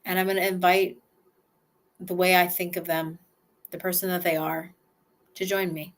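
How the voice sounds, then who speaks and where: Soft-spoken woman guiding a relaxation exercise. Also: fairly low pitch, little pitch movement. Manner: slow, soft, first-person self-guiding with sighs. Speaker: somatic exercise guide.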